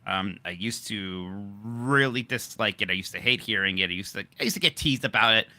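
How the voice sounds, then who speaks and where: A man co-hosting a podcast in casual banter, with a distinctive high-pitched voice, teasing and laughing often. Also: a little fast, bright, forceful tone, dry recording. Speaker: podcast co-host.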